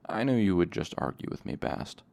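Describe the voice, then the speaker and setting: A tired, wounded innkeeper, read by a man who speaks dryly and understates everything. His voice sits very low, with a gravelly fry. The delivery stays weary and unhurried. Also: sing-song, darkish tone, exaggerated voice. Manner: dry and weary. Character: tired, wounded, dry-witted male innkeeper.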